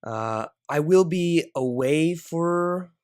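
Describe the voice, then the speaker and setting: A woman's voice: sits low, lively intonation, slow, a little mellow. A young adult woman who narrates fan fiction and chats casually as host of her channel.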